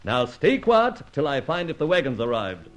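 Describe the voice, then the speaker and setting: High-pitched, swooping, mocking police inspector. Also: male voice, sing-song, moderate pace, loud music behind the voice. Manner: barking orders, sarcastic, theatrical. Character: bogus police inspector, brisk, commanding, mocking man. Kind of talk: old radio detective drama acting. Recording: dull lo-fi old radio recording.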